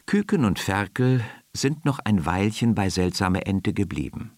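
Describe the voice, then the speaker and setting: Deep, expressive male storyteller. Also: measured pace, no echo, clean studio sound. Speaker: narrator. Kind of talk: scripted children's story narration. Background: music.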